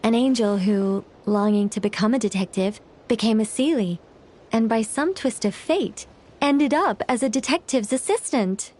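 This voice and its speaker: Eccentric, high-voiced theatrical lady novelist. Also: lively intonation, moderate pace, darkish tone. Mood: matter-of-fact, musing. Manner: theatrical, formal, self-assured. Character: eccentric, theatrical woman novelist with grandiose schemes. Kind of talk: video game voice acting.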